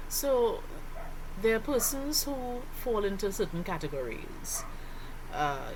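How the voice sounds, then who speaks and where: A woman with a Trinidadian accent explains like a teacher giving a lesson, often posing rhetorical questions to the listener. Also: on the low side. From Trinidad and Tobago. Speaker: sociology teacher. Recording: clearly noisy background ambience.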